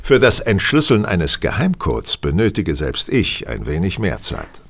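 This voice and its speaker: An actor playing a self-assured, vain master detective in an audio drama, courteous, pompous and measured. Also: male voice, deep voice, huge pitch swings, exaggerated voice. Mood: self-satisfied. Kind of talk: German audio drama acting.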